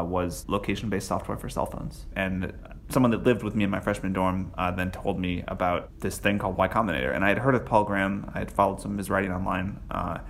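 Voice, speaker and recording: Man, guest, low voice, halting with fillers, faint hiss behind. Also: fairly bright tone. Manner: halting, many restarts and fillers. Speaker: interview guest. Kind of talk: conversational interview answers.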